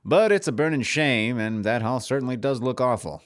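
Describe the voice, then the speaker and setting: An actor playing a stern, blunt, indignant village magistrate in a dramatized audiobook. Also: male voice, mid-range voice, normal speed, dry recording, clean studio sound, natural voice. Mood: grave, indignant. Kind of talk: dramatized audiobook character acting.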